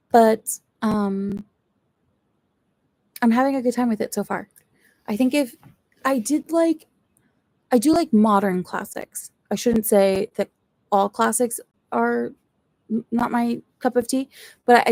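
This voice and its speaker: A woman's voice: fairly high pitch, gravelly, a little mellow, no echo. A woman who is not a native English speaker, chatting casually and thinking aloud during a live reading session at home. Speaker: book streamer. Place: at home, seated in a chair.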